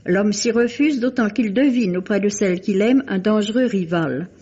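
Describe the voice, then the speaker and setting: Husky, mellow woman reading a review. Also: mid-range voice. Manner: formal, literary, measured phrasing. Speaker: book reviewer on a radio show. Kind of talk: scripted literary review, read aloud. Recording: muffled lo-fi vintage radio recording.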